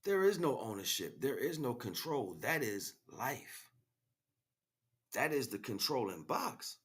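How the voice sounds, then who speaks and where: An American man giving an emphatic, freestyle spiritual monologue in street slang, repeating phrases as he goes, with a husky voice. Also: mid-range voice, sing-song, unhurried. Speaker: spiritual livestream speaker.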